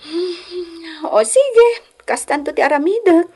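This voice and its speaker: An actress with a Filipino Ilocano accent playing a respectful nineteen-year-old daughter in love in a radio drama. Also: female voice, very high-pitched, animated, slow, mellow, exaggerated voice. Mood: playful, hesitant.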